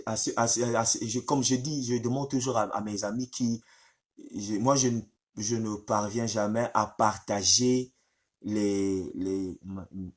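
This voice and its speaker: A man with a head cold, hosting a casual live stream in a halting way with many fillers. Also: fairly low pitch, a little mellow.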